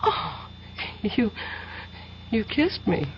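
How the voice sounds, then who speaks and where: An actress playing an anxious, affectionate love interest in a 1970s radio drama, earnest and breathless. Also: female voice, medium pitch, very animated, drawn-out words, vocal fry, heavily acted. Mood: tender, coy. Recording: muffled, faintly noisy old radio broadcast.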